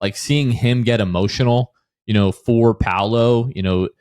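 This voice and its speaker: A man's voice: mid-range voice, fairly even intonation, a little slow, a little mellow, dry recording, studio quality. An American man hosting a podcast, chatting casually about sports. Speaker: podcast host.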